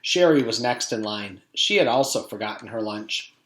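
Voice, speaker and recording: A man's voice: on the low side, expressive, normal speed, fairly bright tone. A man reading a children's storybook aloud in the manner of a teacher with a class. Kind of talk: storybook read-aloud narration. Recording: echoey room.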